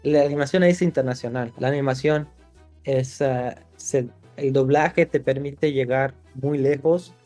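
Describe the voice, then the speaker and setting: A man's voice: medium pitch, fairly even intonation, a little slow, mellow, faint music behind. A man from Italy, a screenwriter guest in a podcast interview, talking thoughtfully with frequent restarts. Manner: thoughtful, many restarts and fillers.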